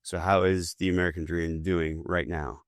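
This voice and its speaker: A man hosting a veteran podcast, reading a scripted intro and then asking interview questions in a steady, measured way. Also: sits low, dry recording, clean studio sound. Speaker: podcast host.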